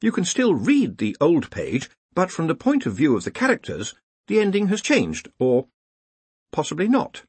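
A man's voice: a little high, wildly swooping pitch, moderate pace, darkish tone, breathy edge, no room sound, voice pushed up, full character voice. An earnest young academic wizard, acted out by a male narrator in a fantasy audiobook. He is hesitant and deferential, explaining with care in a raised, swooping voice. The recording is dry and close.

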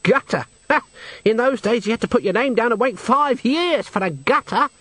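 Brief: male narrator plays storybook opera singer from the slums, wistful, hesitant, grumbling, high and lively pitch. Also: moderate pace, no room sound. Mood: plaintive. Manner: hesitant, sighing, complaining.

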